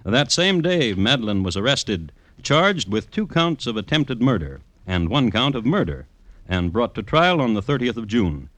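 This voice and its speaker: An actor playing an urbane, droll crime connoisseur who narrates old murders in a deliberate 1950s radio style. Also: male voice, medium pitch, very animated, fairly bright tone, dry recording. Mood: dry, deliberate. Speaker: host and narrator.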